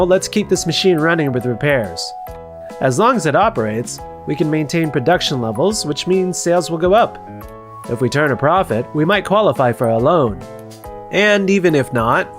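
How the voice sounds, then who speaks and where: Man acting calm young factory hand over music. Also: fairly high pitch, moderate pace. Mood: calm, composed. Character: calm, capable young male factory transfer worker. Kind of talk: scripted manga dub narration and dialogue.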